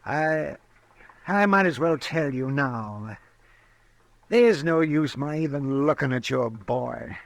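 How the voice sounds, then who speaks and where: A man's voice: medium pitch, animated, slow, gravelly, no echo. An actor playing an irascible old frontier doctor in a radio western, testy and sarcastic, sputtering as he goes. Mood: somber, regretful.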